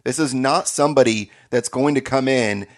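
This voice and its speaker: A man hosting a casual sports podcast, energetic and quick to laugh. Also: mid-range voice. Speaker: podcast host.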